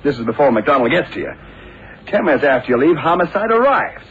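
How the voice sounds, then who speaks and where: An actor playing an agitated, aging federal narcotics chief in a radio crime drama, blustering and hesitant. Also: male voice, fairly high pitch, sing-song, fairly quick. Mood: angry. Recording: tinny, noisy vintage radio recording.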